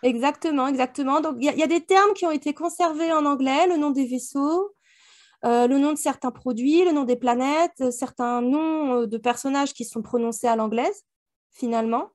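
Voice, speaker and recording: Woman, high voice, casual chat, colloquial and slangy. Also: normal speed, a little mellow.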